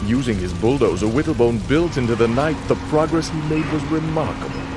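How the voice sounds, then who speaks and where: An actor playing a sinister contest master in a video game, narrating dramatically in a theatrical, grandiose, measured manner. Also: male voice, mid-range voice, over music, lightly acted. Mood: mocking storytelling.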